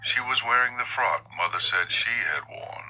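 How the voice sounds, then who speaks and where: A man's voice: mid-range voice, animated, normal speed, sharp tone, rough recording. A man reading a scripted story as narrator in a dramatic storytelling style, with music underneath.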